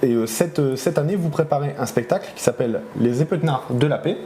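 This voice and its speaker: A man's voice: medium pitch, a little fast, mellow. A man interviewing casually, with informal, hesitant phrasing. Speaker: interviewer for local media. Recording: roomy echo, faint background noise.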